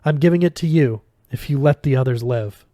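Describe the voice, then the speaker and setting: A man voicing a desperate, defiant woman magician in an audiobook, making urgent, forceful demands. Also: medium pitch, brisk, dry recording, clean studio sound, lightly acted.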